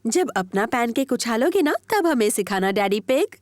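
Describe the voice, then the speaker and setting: A woman voicing a cheerful, patient mother pig in a children's cartoon, with an expressive, motherly manner and a gently teasing streak. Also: a little high, normal speed, a little hiss or hum.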